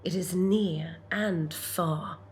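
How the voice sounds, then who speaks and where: A woman playing a solemn, poetic philosopher heroine in a dramatic monologue, deliberate and hushed with portentous pauses. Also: fairly low pitch, fairly even intonation. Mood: reverent. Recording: faint background noise and ambience.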